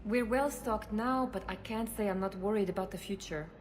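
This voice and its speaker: A woman's voice: medium pitch, moderate pace, natural voice. A woman playing a composed, caring head physician in a dramatic video game. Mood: worried. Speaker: doctor. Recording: ambient background noise.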